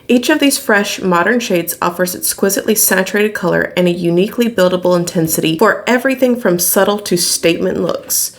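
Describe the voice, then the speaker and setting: A young woman talks casually to camera while doing her makeup, her voice a little raspy from a recent illness. She is candid and chatty, laughing easily. Recorded in a small home studio from a desk camera. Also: medium pitch. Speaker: beauty vlogger. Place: indoor home studio room.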